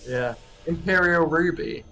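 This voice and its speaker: High-voiced man streaming a game. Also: unhurried, sharp tone, rough recording. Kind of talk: casual live gameplay commentary with a co-player. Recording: game music under the voice. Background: video game music.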